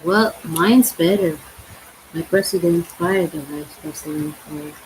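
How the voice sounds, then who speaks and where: A middle-aged woman with a Filipino accent, speaking slowly and playfully in halting English. Her voice is in a middle range. She sits on a living-room couch in front of the camera, with faint room noise in the background. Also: lowish voice, low-quality recording. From Philippines. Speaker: vlogger's wife. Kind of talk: casual chat with viewers.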